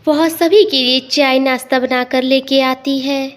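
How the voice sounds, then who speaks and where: A woman narrates a scripted family tale. Her voice sits a little higher than average. She delivers it as a storyteller. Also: fairly even intonation, a little slow.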